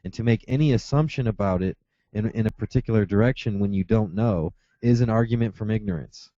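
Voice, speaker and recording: A man's voice: lowish voice, fairly quick, warm tone, dry recording, muffled recording. A man arguing in a heated debate, insistent, with frequent stammering restarts. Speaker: atheist caller.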